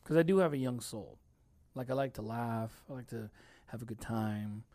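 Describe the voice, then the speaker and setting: A man's voice: medium pitch, normal speed, mellow, no echo. A man in his thirties hosting a podcast, chatting in a laid-back, rambling way and laughing often. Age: 30s. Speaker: podcast host. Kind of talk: casual chat.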